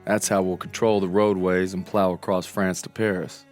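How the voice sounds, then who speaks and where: War game voice-over male actor: wry, sober American soldier, low measured delivery over score. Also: little pitch movement, soft background music, natural voice. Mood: grim, determined. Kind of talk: scripted war game narration.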